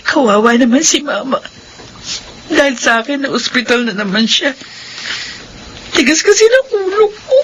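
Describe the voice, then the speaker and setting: A grieving adult daughter, her high voice tearful and anguished. She speaks with a light hiss of noise behind her in a classic radio play. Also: expressive, moderate pace, pauses often. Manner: anguished, self-blaming. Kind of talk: classic radio drama acting.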